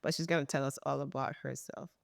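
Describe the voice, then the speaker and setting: A woman's voice: a bass voice, fairly even intonation, fast talker, vocal fry. A young woman co-hosting a podcast, bubbly and teasing, who jokes with her co-host. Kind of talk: casual podcast chat.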